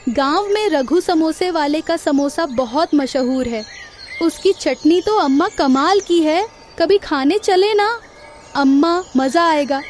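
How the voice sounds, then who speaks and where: A woman's voice: high-pitched, animated, slow, a little mellow, soft background music. A woman voicing a hungry village boy who pleads with his mother and a shopkeeper for samosas. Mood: eager. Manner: whiny, pleading. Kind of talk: cartoon voice acting.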